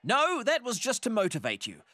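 Exuberant eccentric mentor, swooping delivery. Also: male voice, very high-pitched, huge pitch swings, moderate pace, sharp tone, dry recording, heavily acted. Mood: gleeful, matter-of-fact. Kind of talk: animated series acting.

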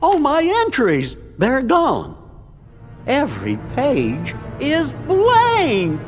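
A man's voice: very high-pitched, extremely slow, heavily acted. A man voicing a tiny, fussy, genteel male cartoon cricket who keeps records, in a video game. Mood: distraught. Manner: precise, genteel, expressive. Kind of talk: video game cutscene voice acting. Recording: long hall reverb, music behind.

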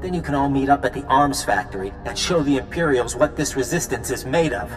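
An actor playing an earnest, practical resistance leader in a video game, firm and persuasive. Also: male voice, mid-range voice, expressive, a little fast, over music, close to an everyday voice, poor audio.

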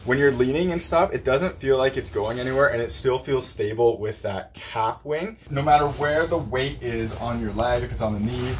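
Male reviewer, middle-pitched voice, talking through gear in a casual, conversational ramble. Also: moderate pace, few pauses, a little hiss or hum.

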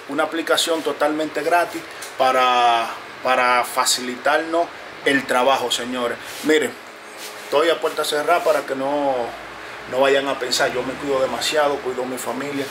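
A man, a barber, talking to his viewers in a casual, earnest way, often repeating himself and pausing with fillers. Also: a little high, background noise. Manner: earnest, repetitive, pauses with fillers. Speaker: barber and video creator. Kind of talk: casual talk to camera. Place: closed barbershop, indoors.

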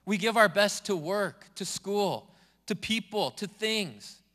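A man preaching a sermon as a pastor, speaking earnestly in a steady, repeating preaching cadence. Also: high voice, takes it slowly, big-room sound. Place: church sanctuary.

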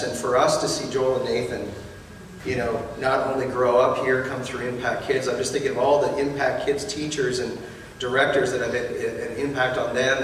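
A man's voice: medium pitch, slight background noise. A male pastor in his forties, talking with a joking, encouraging manner while speaking from a church baptism tank. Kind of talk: baptism address to congregation. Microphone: handheld mic, distant room pickup. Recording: echoey hall from far off.

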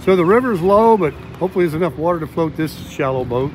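An older man vlogging outdoors at a boat ramp, talking in a chatty, animated way with lots of fillers. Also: on the high side, fast talker, slight background noise. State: out of breath at times. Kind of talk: casual vlog narration. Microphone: camera or selfie stick at arm's length.

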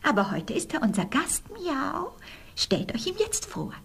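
A woman's voice: high voice, huge pitch swings, unhurried, vocal fry, exaggerated voice. A woman playing a small, mysterious, commanding female cat who meows between phrases in a fairy tale audio play.

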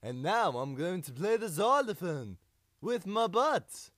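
Man doing a high, dim cartoon goofball. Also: big pitch swings, unhurried, fairly bright tone, dry recording, clean studio sound, heavily acted. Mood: silly, cheerful.

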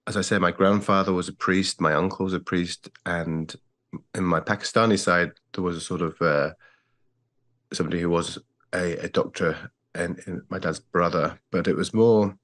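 A man in his forties from England with an English accent, recalling his family in a thoughtful, measured way on a video call. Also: low-pitched, steady tone, fairly bright tone. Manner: thoughtful, measured, with frequent restarts. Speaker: guest. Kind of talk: reflective interview talk. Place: plain-walled home room. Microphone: earbuds on a video call.

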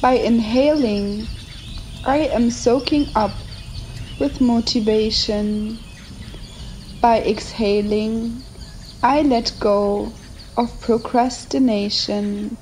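Very slow-paced woman guiding a meditation. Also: fairly high pitch, steady tone, darkish tone, slight background noise. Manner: measured, short phrases with long pauses. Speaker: guided meditation narrator. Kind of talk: slow scripted guided meditation with affirmations. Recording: nature ambience behind the voice. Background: nature and ambient sounds.

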